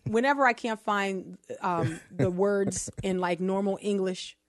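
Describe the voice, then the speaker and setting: A woman's voice: mid-range voice, measured pace, no echo, clean studio sound. A woman from New Orleans with a local accent, co-hosting a casual commentary chat and piling up emphatic rhetorical questions. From New Orleans, USA. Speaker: podcast co-host.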